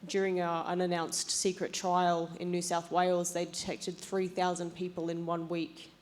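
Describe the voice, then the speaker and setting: A woman's voice: on the low side, completely flat delivery, normal speed. A young Australian woman giving a prepared presentation as a panelist. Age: young adult. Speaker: panelist, transport policy advisor. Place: conference assembly stage, indoors.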